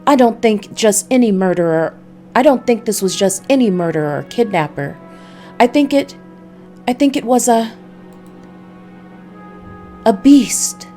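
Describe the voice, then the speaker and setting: A woman performs an anxious young woman in a noir mystery game. Her voice sits high above a faint music bed. She pleads haltingly and repeats herself, as if begging a detective for help. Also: animated, dark tone. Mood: fearful.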